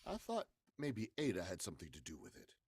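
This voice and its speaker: Guy doing a old radio horror murderer, low husky voice, bossy and menacing, swings up and down a lot. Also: wildly swooping pitch, a little fast, no room sound, studio quality, heavily acted. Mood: suspicious, commanding. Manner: theatrical, forceful. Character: menacing male murderer, commanding, coldly confessing. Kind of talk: 1940s radio horror acting.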